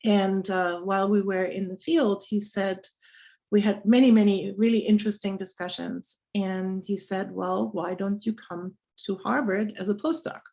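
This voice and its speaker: Woman, sixties, German accent, medium pitch, unhurried, halting with fillers. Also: steady tone, vocal fry, mellow, low-quality recording. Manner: halting, many pauses and fillers. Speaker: guest scientist. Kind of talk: interview chat.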